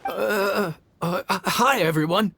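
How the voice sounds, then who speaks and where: A man's voice: sits high, slow pace, vocal fry, bright, forceful tone, slight background noise, exaggerated voice. A man voicing a timid, glum male cook who speaks in a meek, hesitant, stammering way. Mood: bashful, nervous.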